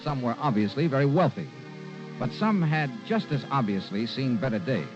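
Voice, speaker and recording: A man's voice: medium pitch, animated, normal speed, music in the background, lightly acted. An actor playing a wry, hard-boiled insurance investigator narrating his case in an old radio noir drama. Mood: wry, watchful. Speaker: lead detective and narrator. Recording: dull vintage radio recording.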